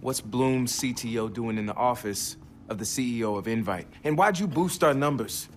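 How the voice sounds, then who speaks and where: A man's voice: medium pitch, moderate pace, slight background noise, lightly acted. A man playing a young, loyal hacker in a video game, with a casual, natural, urgent way of talking. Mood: guarded. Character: young male hacker, loyal, street-smart, supportive. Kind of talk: naturalistic game cutscene acting.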